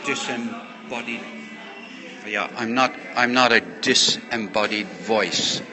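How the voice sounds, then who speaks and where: A man's voice: on the low side, slow, music in the background. A man chatting casually to viewers on a livestream as a poetry host, rambling and full of thanks, speaking into a handheld earbud mic. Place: busy café. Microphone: handheld earbud microphone. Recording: live phone stream in busy café. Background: chatter of other people.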